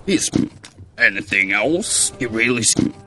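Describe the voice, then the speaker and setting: An actor playing a slobby, defensive and gossipy pig in an adventure game. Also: male voice, lowish voice, animated, unhurried, bright, forceful tone, soft background music. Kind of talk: animated video game character acting.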